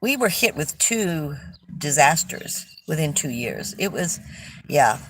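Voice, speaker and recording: An older woman from California, hosting a casual livestream, chatting with viewers and telling stories in a loud voice. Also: sits low, very animated, takes it slowly, a little hiss or hum, low-quality recording. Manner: chatty, warm storytelling with restarts. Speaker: livestream host. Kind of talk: casual livestream chat reading viewer comments.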